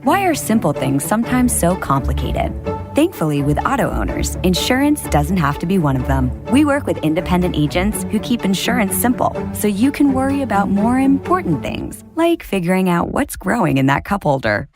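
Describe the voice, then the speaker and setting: A woman's voice: medium pitch, sing-song, moderate pace, music in the background. A woman narrating a scripted radio commercial. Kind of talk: scripted insurance-ad style narration.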